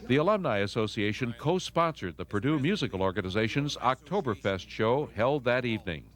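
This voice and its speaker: A man's voice: medium pitch, expressive, a little fast, a bit bright. A man with an American accent reading scripted newsreel narration. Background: music.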